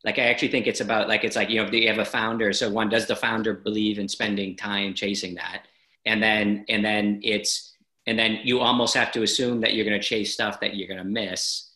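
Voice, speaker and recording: A man's voice: on the low side, level tone, brisk, pushed and bright. A man hosting a casual interview, talking energetically, rambling and restarting his sentences. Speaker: podcast host. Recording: roomy echo.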